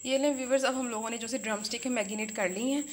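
A woman's voice: fairly high pitch, fairly even intonation, quick pace. Chatty woman hosting a home cooking video. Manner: chatty, repeats words, Islamic blessings before each step. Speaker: cooking channel host. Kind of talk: casual cooking tutorial narration. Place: home kitchen.

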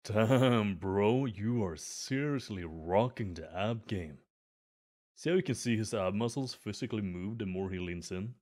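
A man's voice: lowish voice, unhurried, a little mellow. Man playing an eager, chatty gym bro. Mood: friendly. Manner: chatty, earnest, giggly. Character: friendly eager adult male gym bro. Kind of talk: ASMR roleplay.

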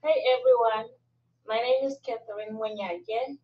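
Botswana-born student woman, matter-of-fact, quiet and off the mic. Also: fairly high pitch, a little slow, far from the mic. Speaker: student panelist. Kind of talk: brief self-introduction. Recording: muffled, from off the mic.

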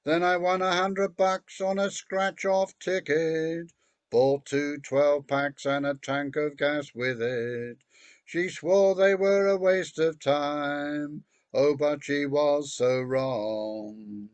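A man's voice: on the high side, fairly even intonation, takes it slowly, no echo. An older man singing a country song at an online open mic, from a home room on a video call. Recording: dull lo-fi video call audio.

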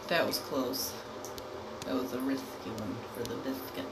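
A woman's voice: a bass voice, unhurried. A woman casually talking through a fish-breeding game she is playing, with frequent ums and uhs. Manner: relaxed, lots of ums and uhs. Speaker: gameplay narrator. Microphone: voice-over on screen recording. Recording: echoey room with loud background noise.

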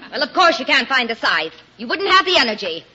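An actress playing an exasperated, sharp-tongued colonial farm wife who nags, in 1940s radio style. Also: female voice, high-pitched, big pitch swings, a little fast, full character voice. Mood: scolding. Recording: muffled old 1940s radio recording, faint noise.

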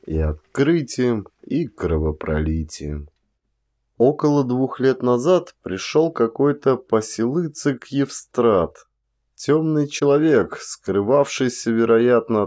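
A man reading a literary audiobook as an actor, with measured, dramatic phrasing and deliberate pauses. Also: on the low side, dark tone. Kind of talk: expressive literary audiobook narration.

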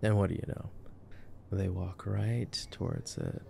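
A man playing a nerdy, affectionate boyfriend in an ASMR sleep-aid roleplay, soft-spoken, teasing and rambling as he comments on a movie. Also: low-pitched, wildly swooping pitch, a little slow, vocal fry, a little hiss or hum, full character voice. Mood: wry, amused.

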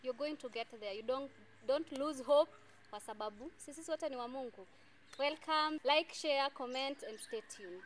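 A Kenyan woman hosts her show with a fairly high, upbeat voice, encouraging her guest and slipping easily into Swahili. She is recorded outdoors on a lapel mic, with faint outdoor noise in the background. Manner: upbeat, encouraging, quick affirmations, mixes English and Swahili. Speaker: talk show host. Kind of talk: hosted interview. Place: outdoor garden by a hedge.

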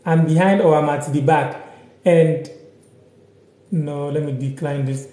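A young man from Ghana teaching a live language lesson on his phone, slowly repeating words and sentences and reading out viewer comments. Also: on the high side, steady tone, mellow, reverberant. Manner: slow, repeats each word and sentence several times. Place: small room indoors, sitting on a sofa.

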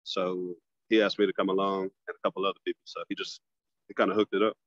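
Man, low voice, dry sound, lo-fi call audio, casual, laid-back. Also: flat delivery, fast talker, fairly bright tone, dry recording. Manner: laid-back, loose, restarts phrases. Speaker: stand-up comic, podcast guest. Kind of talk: casual conversation.

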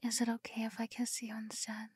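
A woman's voice: fairly high pitch, monotone, fast, a little mellow, husky, no room sound. A young woman whispering close to the mic in an ASMR roleplay, giggly and affectionate. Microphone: close binaural mic.

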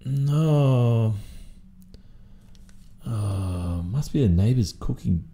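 A man's voice: medium pitch, animated, extremely slow, dark tone. A young man with an Australian accent playing a stressed, sleepy, sweet-natured student boyfriend in an audio roleplay, muttering drowsily to himself. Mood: distracted, weary. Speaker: roleplay voice performer.

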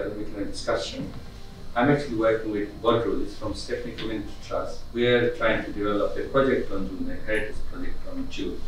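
Hesitant man lecturing in an echoey room. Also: lowish voice. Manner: hesitant, many fillers and restarts. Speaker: guest lecturer. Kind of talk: public talk with audience discussion. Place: community conference room with audience. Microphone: far camera. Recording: echoey room from far off, faint hiss.

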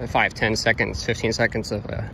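A man's voice: on the low side, level tone, a little fast, vocal fry. Hesitant American man on a noisy street. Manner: hesitant, rambling, self-correcting. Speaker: radio hobbyist presenter. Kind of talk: casual product demonstration. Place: outdoors on a roadside sidewalk. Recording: noisy outdoor recording with traffic.

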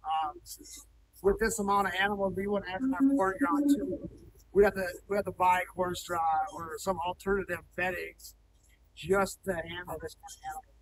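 A man's voice: sits high, normal speed, recorded from a distance, noisy background. A man in his thirties, a cattle farmer talking plainly in an on-site interview in a large barn, with frequent ums and restarts. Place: indoor cattle barn, large, open-sided.

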